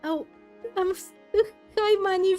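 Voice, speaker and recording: A woman streamer chats casually with her viewers as she plays. Her voice is high and she speaks slowly and gently, a little haltingly. Soft music plays behind her. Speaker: game streamer. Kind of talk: casual live-stream commentary with chat.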